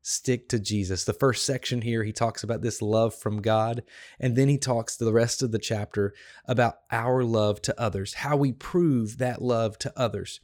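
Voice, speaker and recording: A slightly hoarse, tired man hosting a devotional podcast, teaching a Bible passage in an earnest, encouraging way with emphatic repetition. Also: medium pitch, steady tone, moderate pace, a little mellow. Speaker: podcast host.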